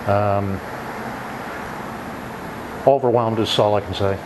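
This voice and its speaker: A middle-aged man with a Maritime Canadian accent speaks in a fairly low voice. He answers interview questions reflectively and haltingly, with noticeable noise behind him. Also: fairly even intonation. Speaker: retiring emergency dispatcher and firefighter.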